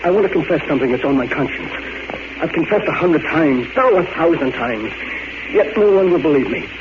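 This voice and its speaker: A man's voice: a little high, animated, brisk, lightly acted. A man acting an agitated, controlling elderly father with an American accent in an urgent, pleading 1940s radio drama style. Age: older. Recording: muffled, noisy 1940s radio recording.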